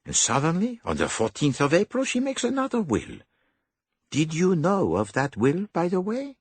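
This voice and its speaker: A man's voice: on the high side, huge pitch swings, normal speed, breathy edge, dry recording, voice pushed up, full character voice. A man voicing a fussy, silky detective with a put-on French accent. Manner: precise, silky, probing.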